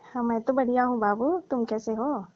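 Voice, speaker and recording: A woman's voice: a little high, moderate pace, no room sound. A middle-aged woman chatting casually on the phone in a coy, teasing, playfully scolding way. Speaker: participant in a comic phone call. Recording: dry, dull lo-fi phone-call audio.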